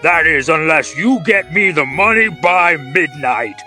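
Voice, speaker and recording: A man's voice: a little high, animated, unhurried, bright, forceful tone, soft background music, voice pushed down, exaggerated voice. A man playing a greedy, sneaky old banker villain in a comic radio play, drawling and gloating. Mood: menacing.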